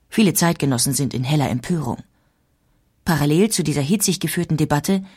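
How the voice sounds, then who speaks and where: A woman narrating a historical audiobook in a measured, formal way. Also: on the low side, a little mellow, slightly rough. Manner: measured, formal documentary delivery.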